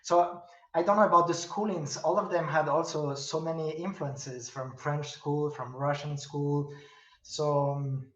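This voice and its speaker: A middle-aged man, a pianist and professor, answering interview questions in English, halting and thoughtful with many fillers and restarts. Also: a little high, fairly even intonation, a bit bright. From Spain. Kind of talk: video-call interview answers. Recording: roomy, distant, dull video-call audio.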